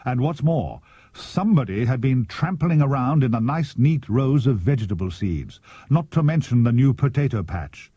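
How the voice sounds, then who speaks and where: A man's voice: medium pitch, expressive, normal speed, mellow, breathy edge, no room sound. A man narrating a scripted children's story expressively as an audiobook reader. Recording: dull cassette tape transfer. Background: music.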